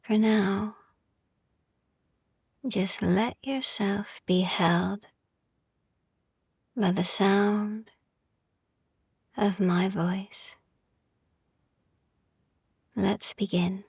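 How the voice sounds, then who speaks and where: A woman guiding a sleep meditation in a soft, gentle, soothing ASMR style, hushed and often slipping into whispers, with long pauses. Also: medium pitch, fairly even intonation, husky, no echo, studio quality. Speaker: sleep meditation podcast host.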